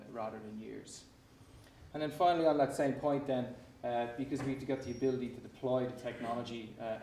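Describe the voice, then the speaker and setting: A man with an Irish accent giving a startup pitch, often restarting his sentences around "uh" and repeated words. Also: a little mellow. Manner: frequent fillers and repeated restarts. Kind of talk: pitch presentation. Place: conference hall. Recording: echoey hall, distant mic, faint noise.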